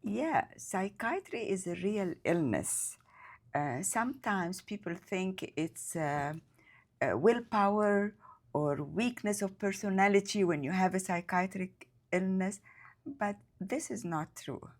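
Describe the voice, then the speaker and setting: A woman's voice: medium pitch, expressive, slow pace. A woman in her fifties from Egypt, speaking English. She answers interview questions as a psychiatrist, hesitant, with many fillers and grammar. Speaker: psychiatrist guest.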